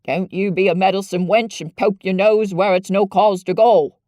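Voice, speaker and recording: A woman voicing a sour, blunt old Yorkshire gardener with slow, grumbling dialect speech. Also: medium pitch, fairly even intonation, dark tone, no room sound, studio quality, natural voice. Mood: dismissive.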